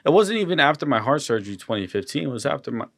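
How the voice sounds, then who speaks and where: A man's voice: mid-range voice, very animated, very fast, gravelly, fairly bright tone, no room sound. A young man, a guest artist, talking earnestly in a casual interview, often restarting and repeating words. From Colombian and Ecuadorian heritage.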